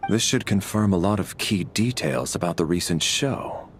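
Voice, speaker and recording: A man's voice: low-pitched, animated, normal speed, slight background noise. An actor playing a direct, businesslike, inquisitive male detective in a video game cutscene. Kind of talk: anime-style video game cutscene acting.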